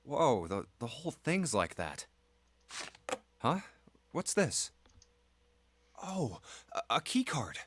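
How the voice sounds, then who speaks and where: An actor playing a curious young man in a video game, eager and thinking out loud. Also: medium pitch, big pitch swings, slow pace, pauses often, breathy edge, full character voice. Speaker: voiced game protagonist.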